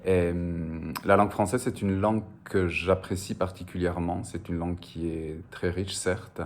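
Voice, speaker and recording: A man's voice: low voice, steady tone, normal speed. A man from the French Basque border, a native Spanish speaker, answering interview questions about his writing in a hesitant, reflective way with frequent fillers and repeated words. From French Basque Country, on the border. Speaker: novelist being interviewed.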